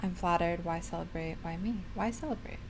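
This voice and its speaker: A woman's voice: fairly low pitch, fairly quick, music in the background. A woman streamer casually commenting on a video game playthrough in short, playful reactions.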